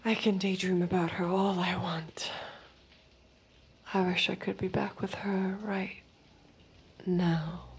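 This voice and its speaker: A woman playing a compliant young girlfriend in an audio drama, soft-spoken and guarded, sweet on the surface and doubting underneath. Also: fairly low pitch, little pitch movement, takes it slowly, long pauses. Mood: inward, anxious. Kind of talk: naturalistic audio drama acting.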